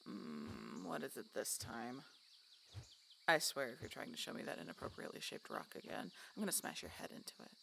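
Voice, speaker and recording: Woman doing a grumpy harpy lady, super creaky low-key snark, pitch jumps around, fantasy audio roleplay. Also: very animated, a little fast, bright, forceful tone, slight background noise, full character voice. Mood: irritated. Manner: sardonic, curt, grudging. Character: grumpy, cynical, guarded female harpy.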